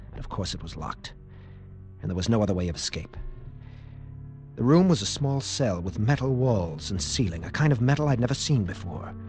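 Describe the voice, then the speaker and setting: A man's voice: fairly low pitch, a little mellow, lightly acted. An actor playing a frightened, desperate military lieutenant, telling his story urgently in 1940s radio drama style. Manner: urgent, intense, pleading. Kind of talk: 1940s radio acting, dramatic first-person narration. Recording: old radio broadcast, faint music behind.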